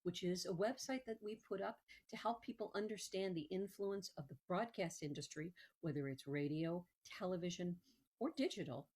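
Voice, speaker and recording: Female presenter, slightly deep register, informal promotional talk with emphatic stresses. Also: normal speed, a little mellow, husky. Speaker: host, museum executive director.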